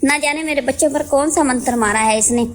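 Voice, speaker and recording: A woman playing a jealous elder sister-in-law and mother in an animated story, sarcastic, scolding and accusatory. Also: high voice, a little fast, lightly acted. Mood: scolding, bitter. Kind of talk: Hindi animated moral story dialogue.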